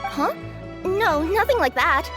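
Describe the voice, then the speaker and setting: A woman voicing an earnest, flustered young cat yokai courier in a video game, hesitant and apologetic, with an excitable streak. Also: very high-pitched, slow, heavily acted. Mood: evasive, sheepish. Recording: game music behind the voice.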